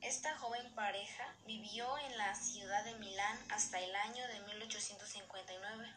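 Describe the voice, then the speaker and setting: A woman's voice: medium pitch, moderate pace, background noise. A woman presenting in a formal way, reading scripted educational narration aloud.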